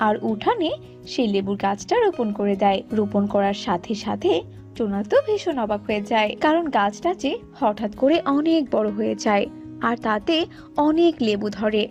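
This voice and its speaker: A woman's voice: mid-range voice, expressive, normal speed, darkish tone, dull audio, soft background music. A woman narrating a scripted cartoon folk tale.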